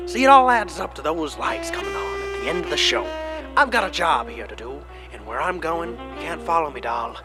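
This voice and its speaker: A man voicing Gil, an excitable, dramatic male skeleton stage actor in a storybook reading. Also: fairly high pitch, voice pushed up, low-quality recording. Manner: gushing, dramatic, slips into hard-boiled tough-guy drawl. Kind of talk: storybook character voice.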